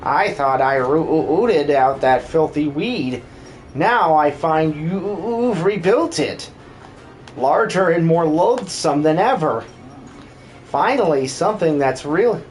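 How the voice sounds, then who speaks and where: A huge ape villain from a video game, acted out by a man, delivers a slow, pompous monologue. He gloats and threatens, dragging out his words over clear background noise. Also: a little high, lively intonation. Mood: disdainful, menacing.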